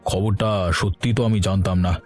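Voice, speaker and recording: A man with a West Bengal accent voicing a scheming, domineering village headman in a cartoon, speaking in a measured, condescending way. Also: deep voice, flat delivery, a little mellow, soft background music, close to an everyday voice. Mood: evasive. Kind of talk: cartoon voice acting.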